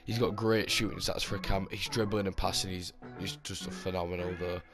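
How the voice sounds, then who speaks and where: A man hosting his gaming channel, talking casually about his team with a slightly hoarse voice, rambling with lots of fillers and restarts. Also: low-pitched, steady tone, fairly quick. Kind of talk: casual gameplay commentary.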